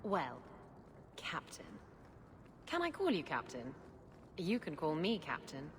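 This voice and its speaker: Low-voiced, brazen pirate captain. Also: female voice, wildly swooping pitch, slow, slight background noise, full character voice. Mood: teasing. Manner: sultry, teasing. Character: flirtatious, brazen female pirate captain, teasing and carefree. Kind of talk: video game voice acting. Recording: reverberant voice with loud music behind.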